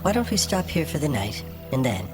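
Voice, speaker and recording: Fantasy audio drama: weary, questioning adventurer woman, acted by a man, lifted pitch. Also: big pitch swings, fairly quick, music in the background.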